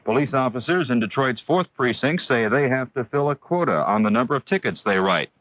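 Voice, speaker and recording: A man's voice: medium pitch, expressive, brisk, fairly bright tone, poor audio. A man reading news headlines as a radio anchor.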